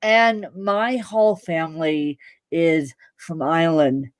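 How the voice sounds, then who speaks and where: A woman's voice: deep voice, fairly even intonation, takes it slowly, no room sound. A woman guest on a live video podcast, telling stories with emphatic, humorous delivery. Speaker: podcast guest and fellow podcast host. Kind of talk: casual video interview.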